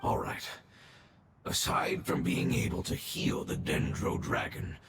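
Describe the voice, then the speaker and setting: An actor playing an ancient, dying guardian creature in a video game, weary and reverent, speaking haltingly in a breathy rasp. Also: male voice, heavy background noise, lightly acted. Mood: cautious. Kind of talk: scripted video game voice acting. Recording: reverberant voice under loud music.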